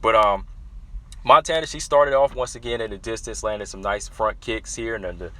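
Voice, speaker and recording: Young man, fight analysis vlogger, low pitch, forceful bright tone, casual chatty commentary. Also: normal speed. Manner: animated, talks straight to viewers with "y'all".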